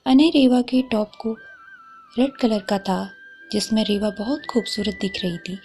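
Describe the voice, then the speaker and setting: A woman's voice: mid-range voice, a little slow, a little mellow. A woman storyteller reading a scripted romantic story. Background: faint music.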